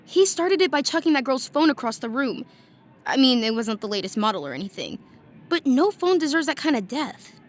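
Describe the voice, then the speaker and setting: Defiant teenage schoolgirl, high-pitched, quick-witted, indignant, dripping sarcasm, for audio drama. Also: sing-song. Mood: sarcastic. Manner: snarky, indignant.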